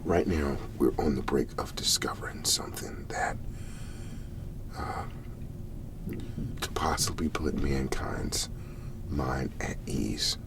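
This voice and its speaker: A hesitant, reassuring government agent, played by a man for an ASMR roleplay. The voice is extremely deep and slow, slipping between creaky murmur and soft whisper. The delivery is halting and careful, placating the listener with long pauses. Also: heavily acted, rough recording. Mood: earnest, reassuring. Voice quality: soft, breathy half-whisper with gravelly low murmur.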